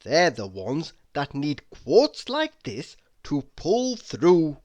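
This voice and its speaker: Very slow, expressive reader with a mid voice. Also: mid-range voice. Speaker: reader of quotes. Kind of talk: reading humorous motivational quotes aloud.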